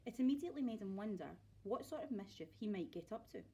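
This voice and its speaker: A woman's voice: medium pitch, lively intonation, quick pace, warm tone, husky. A woman narrating a children's story aloud expressively, like a teacher reading to pupils.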